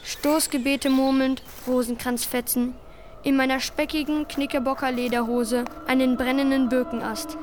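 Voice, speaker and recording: A woman's voice: high voice, fairly even intonation, normal speed, slight background noise, close to an everyday voice. An actress in a radio play voicing a bold young boy hero who declaims like a dragon-slaying knight. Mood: defiant, heroic. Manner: declamatory, heroic. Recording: loud music and effects behind.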